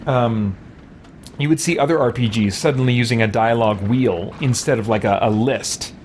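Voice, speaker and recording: Male streamer, middle-pitched, casual off-the-cuff game commentary over audible background noise and ambience. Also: normal speed, darkish tone. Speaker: streamer and host of a game let's-play.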